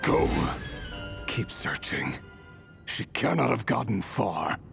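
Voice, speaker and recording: A gravelly, slow grim hunter. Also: male voice, lowish voice, very animated, exaggerated voice. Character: gruff male hunter searching for a fugitive. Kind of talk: video game cutscene voice acting. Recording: distant game audio over music.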